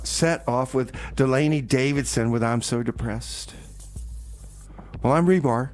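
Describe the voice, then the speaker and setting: A man hosting a community radio show, talking casually and folksily, often hesitating and repeating words. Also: medium pitch. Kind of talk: casual rambling on-air talk. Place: small radio studio. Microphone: studio microphone.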